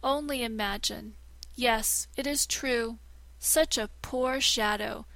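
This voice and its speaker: A woman's voice: fairly high pitch, takes it slowly, gravelly, pushed and bright, dry recording, exaggerated voice, rough recording. A woman voicing a haughty, scheming male shadow who now acts as master and speaks in commanding, old-fashioned thee-and-thou phrasing. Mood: feigning distress.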